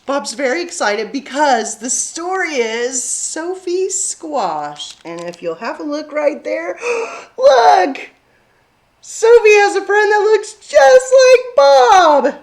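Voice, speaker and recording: A woman's voice: very high-pitched, sing-song, takes it slowly, creaky. A woman hosting a children's story time, talking and reading aloud expressively to young listeners.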